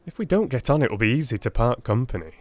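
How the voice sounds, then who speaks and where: A man voicing an earnest, blunt, war-weary Victorian army doctor in expressive audiobook dialogue. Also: mid-range voice, quick pace. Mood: blunt, probing. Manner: forthright, expressive.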